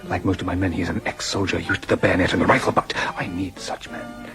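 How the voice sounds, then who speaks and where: An actor playing a fervent, intense German political demagogue in a radio drama, speaking formally with deliberate emphasis. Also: male voice, low-pitched, fairly even intonation, a bit bright, faint music behind. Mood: fervent, hard.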